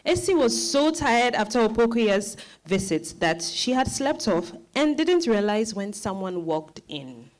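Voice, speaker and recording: A woman narrating a dramatized story as an expressive storyteller. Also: a little high, measured pace, hardly any pauses.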